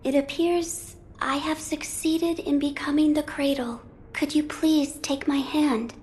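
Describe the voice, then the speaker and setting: A woman playing a gentle young holy maiden in a video game, speaking in a formal, measured and delicate way. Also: high voice, fairly even intonation. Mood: serene, solemn. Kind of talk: video game cutscene acting.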